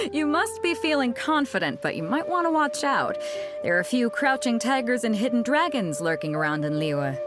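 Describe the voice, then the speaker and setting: A woman's voice: fairly high pitch, very animated, normal speed, music in the background. A woman voicing a boisterous, confident female ship captain in a game cutscene, brash and teasing. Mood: boastful.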